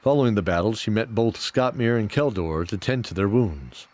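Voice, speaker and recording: A man's voice: fairly low pitch, animated, moderate pace, darkish tone. A man reading scripted fantasy storytelling narration for an audio drama. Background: music.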